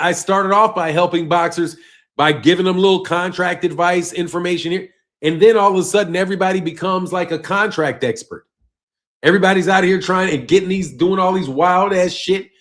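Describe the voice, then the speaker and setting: A man, hosting a boxing channel live stream and talking loosely and emphatically, dropping low at the ends of phrases. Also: moderate pace, fairly bright tone. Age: 50s. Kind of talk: casual live-stream rant reading chat.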